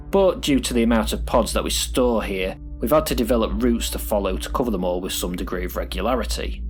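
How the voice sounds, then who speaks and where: A man's voice: fairly low pitch, natural voice. A man playing a callous, officious prison supervisor in an audio drama, instructing in a matter-of-fact, clinical way. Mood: businesslike instruction. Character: callous, officious male prison pod supervisor training a recruit.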